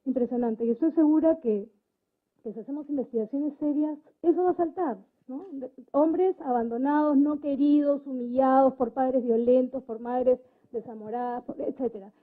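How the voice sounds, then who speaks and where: A woman arguing at a public debate in an emphatic way, repeating phrases deliberately. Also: sits high. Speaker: debate panelist. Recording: thin phone-like sound.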